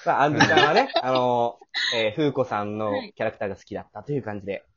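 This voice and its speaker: A man's voice: mid-range voice, very fast, a bit bright. A man hosting a casual backstage chat in a chatty, explanatory manner, as a producer talking things through. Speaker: host and producer of an audio drama. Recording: slightly muffled, lo-fi voice call.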